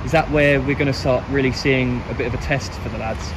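A man interviewing a football manager for a club channel, asking brisk, leading questions outdoors beside a pitch. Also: fairly even intonation. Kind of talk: post-match interview questions. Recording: loud wind and outdoor noise.

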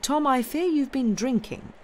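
A man voicing an anxious, mild-mannered gentleman in an audiobook, genteel and given to exclaiming. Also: very high-pitched, very slow, voice pushed up, exaggerated voice. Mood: gentle reproof.

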